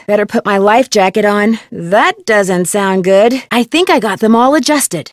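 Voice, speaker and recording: An actress playing a curious, determined young detective in an adventure game, with an American accent and clear, expressive delivery. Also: female voice, fairly high pitch, no room sound, clean studio sound. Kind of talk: scripted adventure game voice lines.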